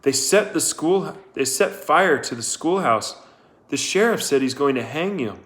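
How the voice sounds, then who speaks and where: A man's voice: on the high side, animated, unhurried, fairly bright tone, pitched above the performer's own voice. A man voicing a frightened but defiant young woman schoolteacher in a storybook, breathless and pleading. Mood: frightened, urgent.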